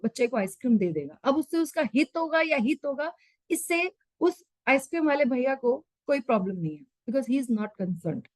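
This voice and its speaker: A woman's voice: on the high side, a little mellow, dry recording. A woman leading an online scripture class, explaining with everyday examples and mixing English terms into her talk. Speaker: Gita study session leader.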